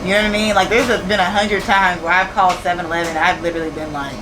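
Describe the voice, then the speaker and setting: A woman's voice: lowish voice, steady tone, rapid-fire. Candid woman in her thirties, air-con hum. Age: 30s. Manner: candid, repeats phrases, laughs easily. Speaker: store owner interviewed as guest. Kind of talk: casual conversational interview.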